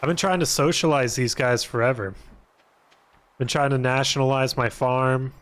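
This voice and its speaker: A man's voice: mid-range voice, fairly even intonation, normal speed, fairly bright tone. A man giving casual, rambling gaming stream commentary, thinking aloud and joking as he plays. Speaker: video game livestreamer.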